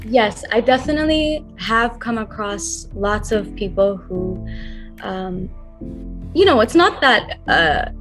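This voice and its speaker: A woman's voice: medium pitch, lively intonation, slow, fairly bright tone, soft background music. A young woman, an R&B singer-songwriter, answering interview questions in a thoughtful, earnest way, with many fillers and restarts.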